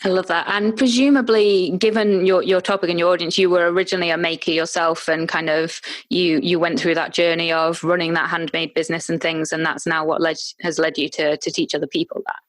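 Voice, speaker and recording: A woman's voice: on the low side, flat delivery, fairly quick, few pauses, no echo. A woman hosting a podcast interview, encouraging her guest and asking follow-up questions. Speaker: podcast host.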